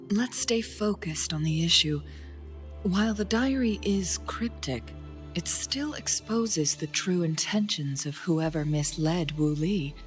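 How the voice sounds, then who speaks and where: A calm, measured strategist. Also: female voice, lowish voice. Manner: calm, measured, softly breathy. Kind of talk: video game cutscene dialogue.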